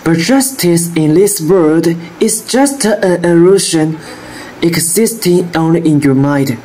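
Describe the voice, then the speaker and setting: A woman playing a bitter, ironic male detective turned killer in an amateur radio drama, with a deliberate, theatrical delivery. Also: lowish voice, dark tone, faint music behind, low-quality recording. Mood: mocking, defiant.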